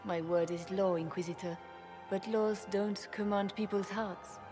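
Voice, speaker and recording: Fantasy game empress actress: mid-pitched female voice, stately, formal, proud and guarded, music clearly behind. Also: steady tone, measured pace, lightly acted. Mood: regretful, restrained. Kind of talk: fantasy game cutscene acting.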